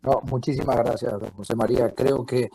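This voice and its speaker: A man who is not from Costa Rica, moderating a public forum, halting and repeating words as he talks. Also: medium pitch, fairly even intonation, warm tone, soft background music, rough recording.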